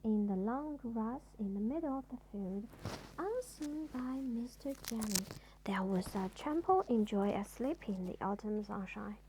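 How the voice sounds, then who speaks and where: Slow, high-voiced woman reading a bedtime story. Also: lively intonation, mellow, slight background noise, low-quality recording. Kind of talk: children's storybook narration.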